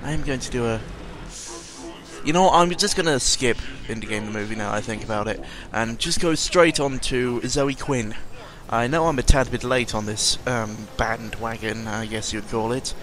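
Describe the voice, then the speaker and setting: A man's voice: mid-range voice, normal speed, fairly bright tone, a little hiss or hum. A man giving a casual, rambling audio-log monologue as host, sardonic and cynical, often interrupting himself and swearing freely.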